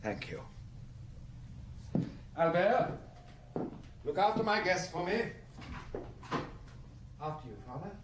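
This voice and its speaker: An actor playing a bitter, dissolute count in a radio drama, intense and formal, with some background noise. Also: male voice, high voice, big pitch swings, takes it slowly, pauses often, exaggerated voice. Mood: subdued, dignified. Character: dissolute young French count, bitter, finding his dignity.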